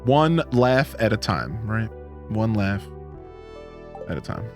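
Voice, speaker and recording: A man's voice: fairly low pitch, very animated, takes it slowly, over music. A man from Ohio with a Midwestern twang, chatting casually with live-stream viewers in a rambling, laid-back way. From Northeast Ohio, USA.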